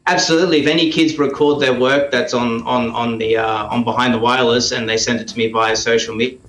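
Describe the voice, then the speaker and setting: A man's voice: mid-range voice, fairly even intonation, brisk, fairly bright tone. Australian man in a roomy, echoing space. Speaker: guest, radio play creator and performer. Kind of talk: casual interview talk. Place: indoors in a house living room.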